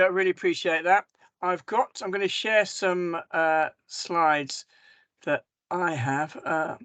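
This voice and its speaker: Hoarse man, service manager, halting webinar talk, higher voice, dry close sound. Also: a bit bright, no room sound. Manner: hesitant, many ums and restarts. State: hoarse from a cough. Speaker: mental health service manager. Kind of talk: presenting slides in a webinar. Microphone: video call.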